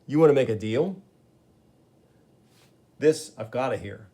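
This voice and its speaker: A man's voice: medium pitch, animated, measured pace, warm tone. Brief: man playing a wisecracking young hero, breezy and dry. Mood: wry. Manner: breezy, sarcastic quips. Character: wisecracking young male superhero.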